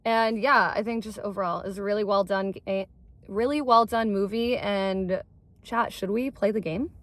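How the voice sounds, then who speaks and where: A woman's voice: mid-range voice, steady tone, normal speed, no echo. A young woman co-hosting a casual movie review from a parked car, bubbly and slangy.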